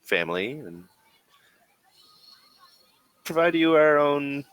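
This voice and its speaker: A man voicing a persuasive, condescending corporate agent in a tabletop role-playing game, with measured, probing speech. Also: fairly high pitch, very animated, fairly bright tone, clean tone, no room sound, voice pushed up, heavily acted.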